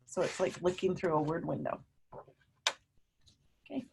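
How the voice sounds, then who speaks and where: A woman teacher reading a picture book aloud to young children, animated and emphatic, often putting questions to her listeners. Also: lowish voice, gravelly, noisy background. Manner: animated, emphatic, drawn-out words, coaxing young children along.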